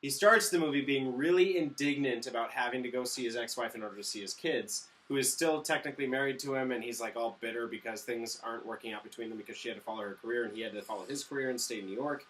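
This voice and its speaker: A man's voice: medium pitch, fast talker, hardly any pauses, a bit bright. A man co-hosting a casual movie review, opinionated and casually sweary, recorded a little away from the microphone. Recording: slightly distant microphone.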